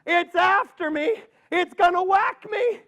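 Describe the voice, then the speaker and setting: A man's voice: extremely high pitch, a little slow, pushed and bright, pitched above the performer's own voice, full character voice. A man voicing a panicky little old woman, with squealing, breathless wails. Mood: frantic.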